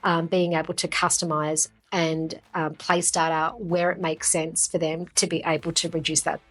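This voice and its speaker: Low-pitched woman interviewer, music behind. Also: flat delivery, moderate pace. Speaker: interviewer, company founder and chief executive. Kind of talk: business interview, conversational promotional talk. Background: background music.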